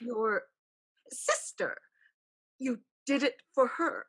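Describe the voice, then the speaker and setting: A woman playing an elder ancestral spirit, a wise, pragmatic aunt, in a deliberate, knowing staged reading. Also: on the high side, expressive, a bit bright, heavily acted. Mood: consoling. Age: older. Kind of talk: staged play reading, dramatic and measured.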